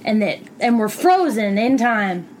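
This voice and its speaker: A woman guesting on a comedy podcast, deadpan and quick with self-deprecating jokes. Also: medium pitch, slight background noise. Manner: deadpan, keeps plugging her shows. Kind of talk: casual comedy banter.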